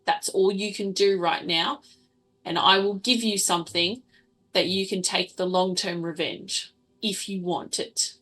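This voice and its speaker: A woman's voice: mid-range voice, fairly even intonation, a little slow, fairly bright tone, rough recording. A woman playing a blunt, commanding female wasteland survivor in a tabletop game, giving firm orders. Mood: urgent, commanding. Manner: firm, imperative. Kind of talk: tabletop roleplaying character voice.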